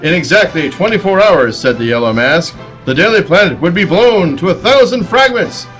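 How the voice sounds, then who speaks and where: High, animated male radio-serial narrator. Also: moderate pace, faint music behind. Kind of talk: dramatic 1940s radio serial narration, live table read.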